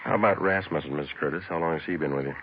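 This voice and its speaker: An actor playing a terse American police sergeant in a 1950s radio drama, narrating his case in a clipped, deadpan, matter-of-fact way. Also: rumbling low, rapid-fire, a little hiss or hum. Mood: polite, probing. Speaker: narrator and lead detective. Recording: muffled old radio recording, music.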